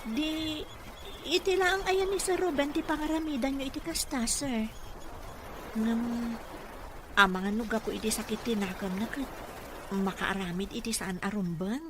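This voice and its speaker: A woman's voice: fairly high pitch, normal speed, pauses often, background noise, close to an everyday voice. A woman playing a devoted, respectful therapist to a rich boss in an Ilocano radio drama. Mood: concerned.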